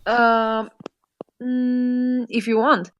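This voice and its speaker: A woman's voice: fairly high pitch, flat delivery, drawn-out words, muffled recording, a little hiss or hum. Giggly woman reading aloud playfully. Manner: giggly, playful, breaking off mid-sentence. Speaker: fan fiction reader. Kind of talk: casual comedic read-aloud with a friend.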